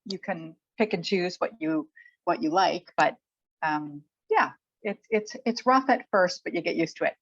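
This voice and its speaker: A middle-aged woman chatting casually as an interviewed author, thinking aloud in a halting way. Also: on the low side, no room sound. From Canada. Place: remote online call. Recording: dull, muffled lo-fi audio.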